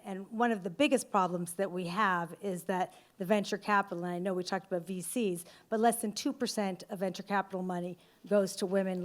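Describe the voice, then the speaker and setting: A woman moderating a business panel, forceful and rallying, with punchy declarations. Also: mid-range voice. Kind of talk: panel discussion.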